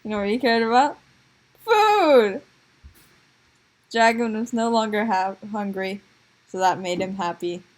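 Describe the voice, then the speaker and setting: A woman improvising comic stories for a puppet show in a giggly, halting way. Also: mid-range voice, animated. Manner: giggly, halting, making it up as she goes.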